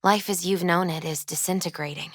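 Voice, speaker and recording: A gentle young woman who reads tarot as a game actress plays her, speaking in a lowish, soft-spoken voice. She is measured and earnest, with a mystical, foreboding weight to her delivery. The sound is very dry, as in game dialogue. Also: fairly even intonation, no echo, lightly acted. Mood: solemn, foreboding. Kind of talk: video game character dialogue.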